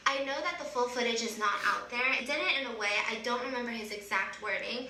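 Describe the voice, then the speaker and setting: A woman in her twenties with a high-pitched, loud voice, earnestly recounting a personal story. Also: fast. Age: 20s. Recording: roomy, distant sound with faint noise from a played clip.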